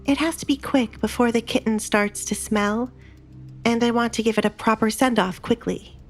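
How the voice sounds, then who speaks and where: A cool young bride, voiced by a woman narrator, speaks softly in a high register. She is measured, frank and unyielding, in a romance drama with music underneath. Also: steady tone, lightly acted. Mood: coolly firm.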